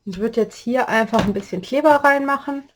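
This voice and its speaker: A woman with a slight cold-hoarse voice, chatting through a step-by-step paper-craft tutorial and explaining the measurements as she works. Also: fairly high pitch, moderate pace, a little hiss or hum. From Germany.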